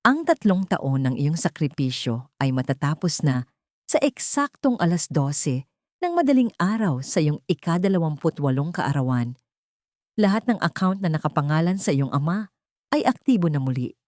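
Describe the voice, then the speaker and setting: Woman narrator as a deferential, mellow elderly lawyer. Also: deep voice, big pitch swings, moderate pace, no echo, studio quality. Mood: formal, respectful. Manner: formal, respectful.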